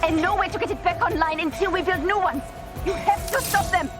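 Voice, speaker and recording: An actress playing a sharp, urgent young tech genius in a video game. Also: female voice, very high-pitched, fairly quick, over music. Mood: urgent, focused. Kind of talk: cinematic video game acting.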